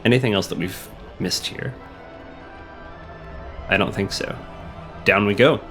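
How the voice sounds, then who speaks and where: A man with a cold, hoarse and a little sniffly, chatting casually while playing a video game, thinking aloud and reading on-screen text. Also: lowish voice, measured pace. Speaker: let's play host. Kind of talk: casual gameplay commentary. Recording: game music playing behind the voice.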